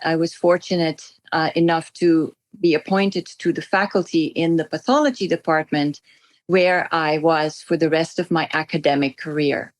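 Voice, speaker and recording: A middle-aged woman from the Netherlands, a native Dutch speaker, answering interview questions as a physician guest in articulate, measured phrases. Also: on the low side, dry recording.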